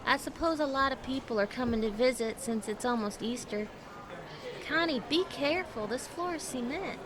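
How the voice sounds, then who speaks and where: A woman's voice: sits high, normal speed, a crowd in the background, lightly acted. A woman playing a weary, anxious mother. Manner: tired, worried.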